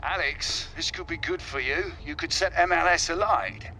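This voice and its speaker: A man's voice: a little high, normal speed, pushed and bright, a little hiss or hum. A man playing an encouraging middle-aged father in a cinematic video game.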